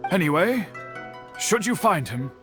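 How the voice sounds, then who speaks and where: An earnest young man speaks in a fairly high, measured voice while worrying over a missing friend. He stays composed but concerned. Faint game music sits behind him. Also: big pitch swings. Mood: worried. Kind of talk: game voice acting.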